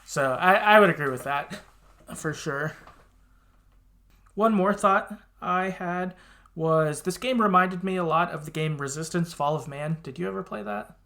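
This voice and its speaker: Man, podcast co-host, creaky voice, conversational, reflective, casual game talk. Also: high-pitched, a little slow, pushed and bright. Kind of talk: casual video game discussion.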